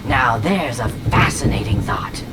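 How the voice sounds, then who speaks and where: A woman's voice: a bass voice, expressive, unhurried, loud music behind the voice. A woman playing a whimsical, unhinged sentient artificial mind in an audio drama, speaking in a theatrical, eccentric way. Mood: manic musing. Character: whimsical, unhinged sentient shard voice inhabiting a robot.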